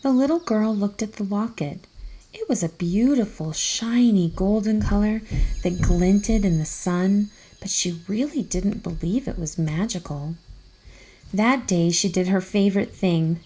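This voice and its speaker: A woman narrating a children's storybook aloud in an expressive, careful storytelling manner. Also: mid-range voice, a little slow, rough recording.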